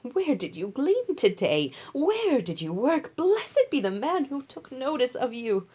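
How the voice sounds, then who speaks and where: A woman's voice: high voice, very animated, measured pace, dark tone, husky, voice pushed up, exaggerated voice. A woman voicing a kindly older mother-in-law in a Bible story, giving motherly instruction with emphasis. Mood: eager, delighted.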